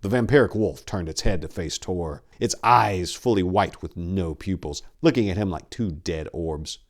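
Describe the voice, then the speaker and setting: A man narrating dark fantasy horror as a dramatic reading, with a grave, intense, theatrical delivery. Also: low-pitched, moderate pace.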